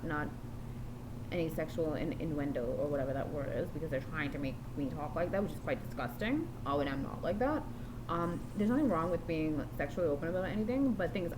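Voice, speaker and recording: A woman's voice: low-pitched, noisy background. A woman rambling through a diary-style vlog, meandering and often restarting or correcting herself. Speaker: vlogger.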